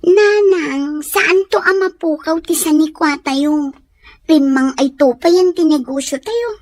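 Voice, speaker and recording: A very high, girlish voice with an Ilocano Filipino accent acted by a woman, recorded close and dry. She is a young student and eldest sister in a radio-style drama, reluctant yet fond of her family. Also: unhurried, no echo. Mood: stubborn, pleading. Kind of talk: audio drama dialogue.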